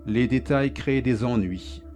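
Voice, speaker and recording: A man narrating a dark first-person story in a flat, measured, placid way. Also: mid-range voice, soft background music.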